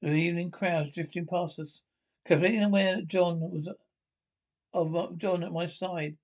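A man narrating a radio thriller script aloud, reading haltingly and stumbling over the text. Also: sits high, fairly even intonation.

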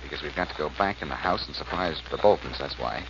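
An American man playing a quick-witted, commanding detective with a brisk, wisecracking manner in 1940s radio drama. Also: a bass voice, expressive. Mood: confident, explaining. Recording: muffled, noisy old radio recording.

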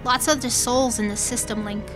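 A woman playing a tough, guarded spaceship captain with a soft side, blunt and gruff in manner, in a science fiction audio drama. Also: a little high, steady tone, normal speed, faint music behind, lightly acted. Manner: blunt, gruff, clipped commands.

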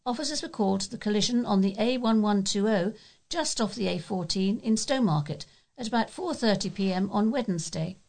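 A woman's voice: medium pitch, brisk, gravelly, a little mellow. A woman with a British accent reads local news articles aloud as a volunteer newsreader.

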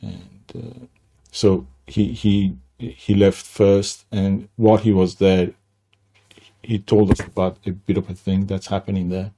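An older man from Iran with a Persian accent, telling his life story as an interview guest in a halting way, with many restarts. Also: sits low, flat delivery, gravelly, noisy background.

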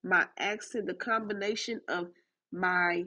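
Husky woman with a Haitian-Southern accent. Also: on the low side, steady tone, measured pace, pushed and bright. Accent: Haitian and Southern US blend. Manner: emphatic, repeats points, talks straight to listeners. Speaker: podcast host. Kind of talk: motivational podcast talk. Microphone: recorded through a voice chat app.

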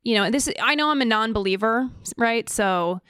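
A woman co-hosting a podcast, giving her opinion casually and earnestly, haltingly repeating words as she searches. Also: fairly high pitch, animated, fairly bright tone, smooth, dry recording, studio quality. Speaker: podcast co-host.

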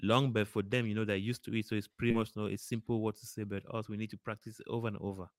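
A man co-hosting a podcast, chatting in a relaxed, agreeable way. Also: on the low side, quick pace, no room sound, clean studio sound. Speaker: podcast co-host. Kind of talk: casual podcast chat.

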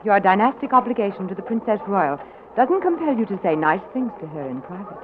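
An actress as a cool, dry-witted princess. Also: female voice, medium pitch, big pitch swings, a little fast, close to an everyday voice. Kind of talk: 1940s radio acting. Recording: tinny old radio broadcast with hiss.

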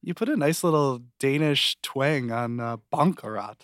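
A man's voice: mid-range voice, lively intonation, moderate pace, fairly bright tone, no room sound, studio quality. A man speaking as a podcast co-host in a casual conversation about television. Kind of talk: casual TV review podcast chat.

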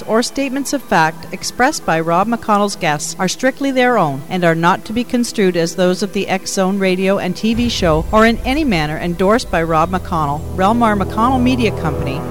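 A female announcer reading a legal disclaimer in a measured, formal tone at mid pitch. Music plays clearly underneath.

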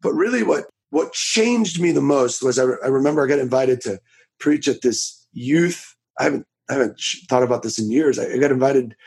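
A man in his thirties from Florida, a preacher telling his story in a casual interview, with a raspy voice, talking loud and fast with many restarts. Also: mid-range voice. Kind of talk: casual testimony in a podcast interview.

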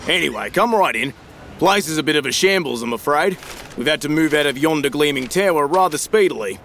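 A man's voice: a little high, animated, brisk, a bit bright, slight background noise. An actor playing a matey, informal Australian businessman in an audio drama, with breezy slangy talk.

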